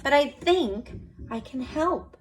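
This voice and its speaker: Woman acting a bright, sweet old woman, higher-pitched. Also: expressive, takes it slowly, slight background noise. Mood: bright, helpful. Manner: bright, gently reassuring. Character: plump, sweet, kindly old woman helper.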